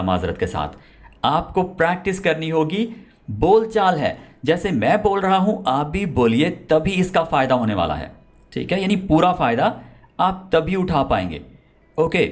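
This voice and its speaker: Guy teaching english, drags out each phrase slow, voice goes up and down a lot. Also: medium pitch. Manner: says English phrases very slowly for repetition; checks in with "ठीक है?" and "ओके". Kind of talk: language lesson with slow model sentences.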